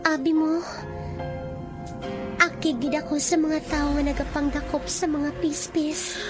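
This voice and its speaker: Radio drama bird princess: girlish, Filipino, very high, sweet yet determined. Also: level tone, a little slow. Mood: sweet, coy. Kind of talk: Philippine radio drama acting. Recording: faint music behind the voice.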